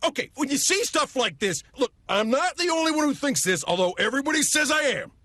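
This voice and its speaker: An exasperated sports pundit played by an actor rants defensively in a comedic radio ad. The voice is very high, bright and sharp, recorded dry and close. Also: animated, fairly quick, dry recording. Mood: indignant. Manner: exasperated, sputtering, argumentative. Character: indignant, defensive ranting sports-show pundit.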